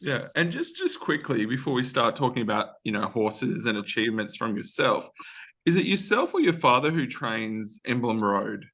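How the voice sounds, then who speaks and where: A man hosting an interview, asking questions and affirming briskly with frequent yeahs. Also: medium pitch, expressive, a bit bright. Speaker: host.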